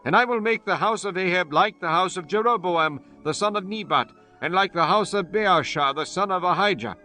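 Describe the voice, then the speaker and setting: Man acting high-voiced, fierce young prophet. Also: a little fast. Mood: fierce, solemn. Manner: loud, declamatory. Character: young male prophet delivering fierce divine judgment. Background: music.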